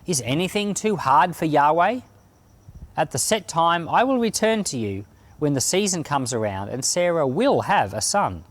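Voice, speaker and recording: A man reads a Bible passage aloud in an animated, expressive way. His pitch moves widely as he narrates, and he phrases each line with deliberate care. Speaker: Bible podcast reader and pastor. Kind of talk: scripture reading, dramatized narration.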